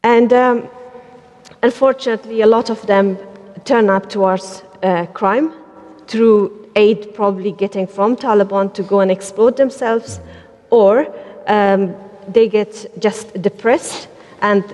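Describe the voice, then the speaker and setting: A woman from Afghanistan speaking as a panelist, pausing often with fillers and restarting her sentences. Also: mid-range voice, a little mellow. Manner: hesitant, many fillers and restarts. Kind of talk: panel talk. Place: large church hall. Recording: long hall reverb.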